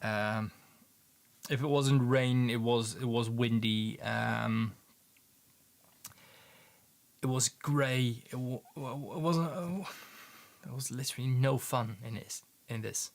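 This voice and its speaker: A man's voice: mid-range voice, creaky. A man from the Netherlands with a Dutch accent, chatting casually with viewers on a livestream and often stumbling and repeating words. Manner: halting, repeats words, many ums. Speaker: livestream host, paint maker.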